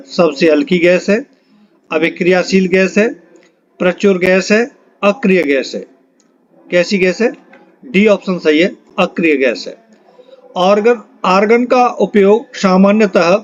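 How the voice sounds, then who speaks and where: A high-voiced man leads a quiz-style exam lesson. He reads each item out in an instructional way and repeats key points. The recording sounds dull and lo-fi. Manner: instructional, repeats questions and answers for emphasis. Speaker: exam-prep physics teacher. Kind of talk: question-and-answer lesson, reading out questions and options.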